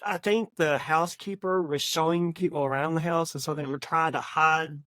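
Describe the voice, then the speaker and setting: A woman's voice: sits low, fairly even intonation, normal speed, fairly bright tone, no echo, studio quality. A woman co-hosting a book discussion, talking in a casual, conversational way. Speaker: book podcast co-host.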